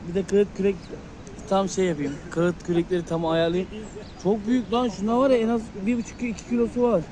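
A young man chatting excitedly to his viewers in a casual travel vlog, filmed on a phone outdoors by a river. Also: high-pitched, a little fast, crowd noise. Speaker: vlogger. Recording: loud outdoor noise.

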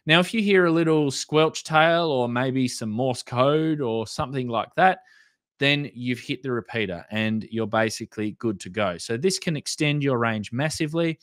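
A man's voice: medium pitch, moderate pace, a bit bright, dry recording, studio quality. A man in his thirties with an Australian accent, presenting an instructional tutorial in a plain-spoken, explanatory way. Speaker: presenter of a radio hobby tutorial. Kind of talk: instructional explainer, semi-scripted.

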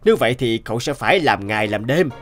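A man's voice: medium pitch, animated, quick pace, soft background music, natural voice. A man voicing a concerned, reluctant middle-aged office boss in a cartoon drama. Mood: doubtful.

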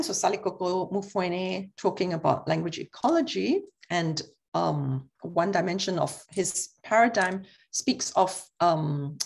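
A middle-aged woman with a Singapore English accent giving a brisk online linguistics lecture, often checking in with "okay?". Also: fairly low pitch, vocal fry.

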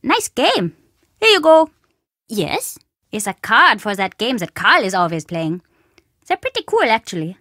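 Game role: woman as mischievous young boy. Lively swooping delivery, high-pitched, cheeky, dry close recording. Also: a little slow, no room sound, heavily acted. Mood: playful. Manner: childlike, cheeky. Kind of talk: video game character acting.

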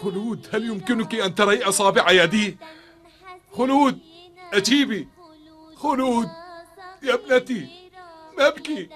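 A man's voice: sits high, a little slow, long pauses, fairly bright tone, soft background music. A man playing a distraught, devout father in a dubbed cartoon drama, earnest and emotional. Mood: frantic.

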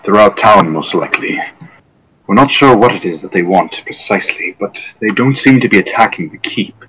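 A man's voice: fairly low pitch, lively intonation, normal speed, pushed and bright, slightly rough, heavily acted, rough recording. A man voicing a polite, hesitant male town governor in a tabletop game. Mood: grave. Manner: formal, hesitant, apologetic.

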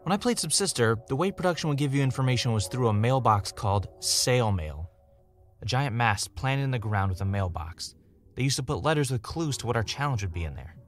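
An actor playing a jaded former reality show winner turned podcaster, blunt and world-weary, in an audio drama. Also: male voice, mid-range voice, lively intonation, a little fast, a little hiss or hum, close to an everyday voice. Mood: explaining. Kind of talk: audio drama dialogue and confessional narration.

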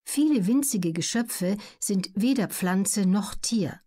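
Female audiobook narrator, mid-range voice, measured and neutral delivery, careful articulation for educational material. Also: medium pitch, lively intonation, mellow.

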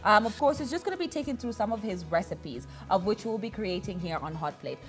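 A woman's voice: medium pitch, expressive, fairly quick, faint music behind. A young woman hosting a cooking show, chatty and playful, talking to her guest and to viewers on a studio kitchen set. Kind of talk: lively TV hosting.

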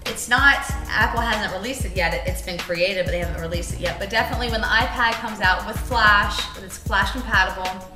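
A woman gives a hesitant, casual answer full of restarts. The room is echoey, and music plays clearly behind her. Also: medium pitch, fairly quick, hardly any pauses. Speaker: interviewee.

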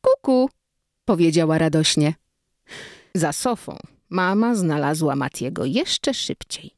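A woman's voice: sits low, expressive, unhurried, no echo, studio quality. A woman narrating a children's story expressively, acting out the dialogue.

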